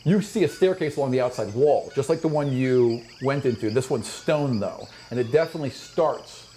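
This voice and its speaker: A man's voice: medium pitch, moderate pace, warm tone, a little hiss or hum, low-quality recording. A man acting as game master, narrating a fantasy tabletop game with descriptive storytelling and casual table talk.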